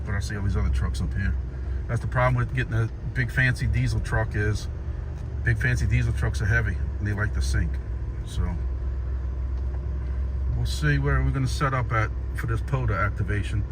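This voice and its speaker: A man's voice: fairly low pitch, moderate pace, long pauses. A man vlogging casually while driving, rambling along with restarts and fillers. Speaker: vlogger and ham radio operator. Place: inside a moving car. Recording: loud road and car noise behind.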